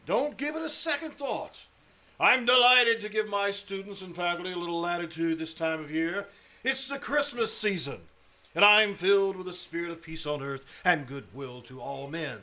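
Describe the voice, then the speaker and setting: High, forceful, pompous school principal played by a man. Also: lively intonation, normal speed. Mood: falsely genial. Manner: pompous, unctuous, falsely magnanimous. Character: pompous, hypocritical male school principal, slyly hinting. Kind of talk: 1950s radio comedy acting. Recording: live stage, noticeable background noise.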